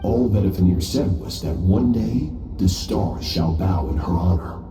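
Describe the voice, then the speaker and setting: A man voicing an aloof, self-assured master swordsman in a game, calm and measured. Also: low voice. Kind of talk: game voice acting. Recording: roomy, distant, faint music.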